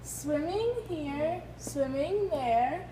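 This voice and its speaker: A woman teacher reading a rhyming children's book aloud to a class, pausing deliberately so listeners can join in. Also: sits high, animated. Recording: echoey, noisy room, distant microphone.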